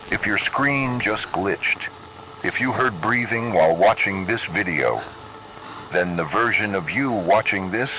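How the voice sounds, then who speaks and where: A grave emergency broadcast announcer, played by a man, with a low, bright-edged voice. He gives measured, scripted horror warnings as instructions, over faintly noisy radio-like audio. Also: full character voice.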